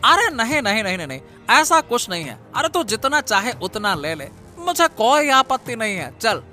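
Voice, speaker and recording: A man's voice: very high voice, sing-song, normal speed, pushed and bright, full character voice. A man voicing a scheming, two-faced royal minister in a cartoon story, repeating his words with slippery politeness. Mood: falsely agreeable. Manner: falsely agreeable, repeating words, sly. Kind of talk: animated Hindi cartoon story acting. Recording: music under the voice.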